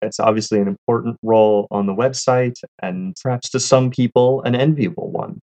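A woman's voice: rumbling low, fairly even intonation, normal speed, warm tone, rough recording. A woman hosting a casual podcast interview, asking questions in a playful, joking manner. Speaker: podcast host.

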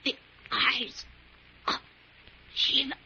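A woman's voice: drawn-out words, bright, forceful tone, exaggerated voice. An actress playing an indignant, frightened wealthy woman in a 1940s radio melodrama, with dramatic, emphatic delivery. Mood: terrified pleading. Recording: muffled, noisy 1940s radio recording.

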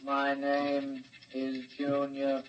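An actor in a 1950s radio drama playing a naive, childlike steel robot with a grinding mechanical voice and stiff, simple phrasing. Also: male voice, medium pitch, monotone, very slow. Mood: obedient, flat. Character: childlike eight-foot steel robot, naive, learning to kill. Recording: dull, lo-fi old radio recording.

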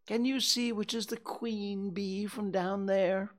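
A reader putting on Pooh, a slow, fretful toy bear. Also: medium pitch, fairly even intonation, pitched above the performer's own voice, natural voice. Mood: anxious. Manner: slow, ponderous, hesitant with stammers. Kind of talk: storybook reading.

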